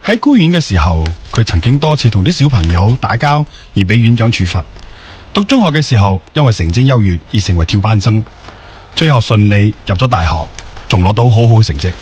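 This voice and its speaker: A man's voice: on the low side, very animated, fairly quick, a little mellow, slight background noise. A man playing a formal, businesslike investigator in a radio drama. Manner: formal, clear-cut reporting. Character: businesslike male investigator giving a report.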